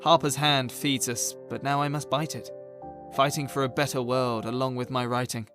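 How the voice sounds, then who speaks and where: An actor playing a troubled author who stands up for himself, speaking earnest, declamatory rhyming lines in an audio drama. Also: male voice, medium pitch, steady tone, normal speed, music in the background, lightly acted. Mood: thoughtful, resolved. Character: troubled male author standing up to his characters.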